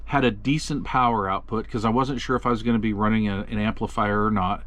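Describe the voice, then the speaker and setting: A man's voice: on the low side, quick pace. Laid-back man walking through a radio. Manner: relaxed, chatty, frequent ums and uhs. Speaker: radio reviewer. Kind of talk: casual product walkthrough.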